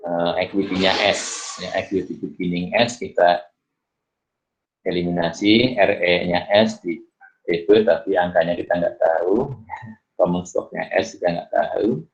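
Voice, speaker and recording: A man's voice: low voice, fairly even intonation, a little slow, gravelly, rough recording. A man giving an informal worked-solution lesson as a lecturer, thinking aloud step by step and often asking himself questions before answering. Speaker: accounting lecturer.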